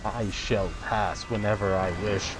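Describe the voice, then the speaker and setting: A man's voice: on the low side, level tone, measured pace. A man giving casual, wry, understated commentary as he plays a video game. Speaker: gamer, let's play host. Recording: loud game noise behind.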